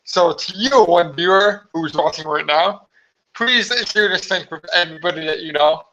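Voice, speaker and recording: A hoarse man chatting casually on a live stream from home, rambling with many fillers. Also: on the high side, moderate pace, sharp tone. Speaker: vlogger hosting a live stream. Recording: slightly dull lo-fi live stream audio.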